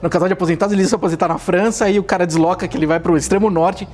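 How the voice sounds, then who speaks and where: A man's voice: sits high, fairly even intonation, very fast, a little mellow. A man with a Brazilian accent co-hosting a casual podcast, bantering informally. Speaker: podcast co-host.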